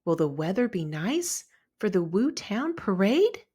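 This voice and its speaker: A woman reading a children's story aloud in an expressive, deliberate narrating style. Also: mid-range voice, slightly rough. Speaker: storybook reader.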